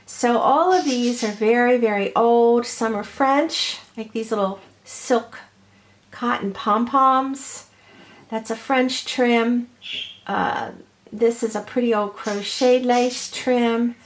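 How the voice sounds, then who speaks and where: Slightly hoarse woman, higher pitch, very unhurried meandering craft-tutorial delivery. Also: fairly even intonation, slight background noise. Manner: gentle, meandering, many ums and uhs. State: has a cold, a little hoarse. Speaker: crafter showing her ornaments. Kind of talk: slow, chatty craft tutorial.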